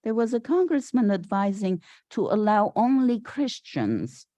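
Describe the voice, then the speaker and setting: An older woman from Syria, giving a reflective, earnest personal talk as a guest speaker in a halting way. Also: medium pitch, sing-song, warm tone, no room sound. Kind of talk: personal inspirational talk to a congregation with poem recitation.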